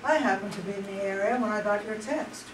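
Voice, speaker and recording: A woman playing a confident, smooth-talking young attorney general in an amateur play reading, poised and self-assured. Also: medium pitch, fairly quick, close to an everyday voice. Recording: distant, echoey room, faint noise.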